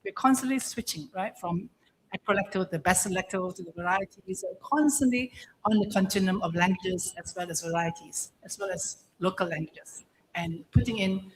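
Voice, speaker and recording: A woman explains with care, leaning on examples. She speaks at an even middle pitch as a co-author at a book launch. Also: moderate pace, slight background noise, low-quality recording. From Malaysia. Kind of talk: explanatory Q&A answer.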